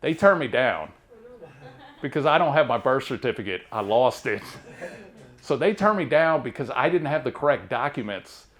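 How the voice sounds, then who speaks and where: A man in his thirties from near Baltimore with a Southern-sounding drawl, telling self-deprecating stand-up jokes in a deadpan way. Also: mid-range voice, normal speed. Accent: Southern-sounding American drawl. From Maryland, near Baltimore. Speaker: stand-up comedian. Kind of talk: stand-up comedy set.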